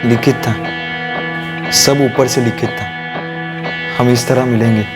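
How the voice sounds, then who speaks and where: A man's voice: mid-range voice, fairly even intonation, slow, over loud music, lightly acted. An actor playing an obsessive, lovesick stalker in a TV crime drama, brooding and fixated in his delivery. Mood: brooding, infatuated. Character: obsessive lovesick male stalker, fatalistic and pleading. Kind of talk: Hindi TV crime drama acting.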